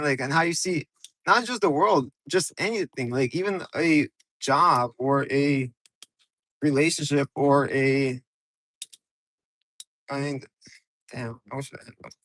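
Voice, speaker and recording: A man's voice: on the high side, fairly bright tone, no room sound, poor audio. A man from Ukraine hosting a casual, rambling livestream from his car on his phone, talking loose and slangy straight to his viewers. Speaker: livestream host.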